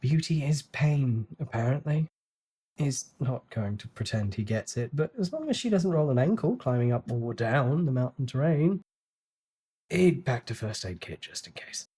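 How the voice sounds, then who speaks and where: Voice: medium pitch, expressive, moderate pace, dark tone, breathy edge. A narrator giving a dramatic, scripted reading of a novel.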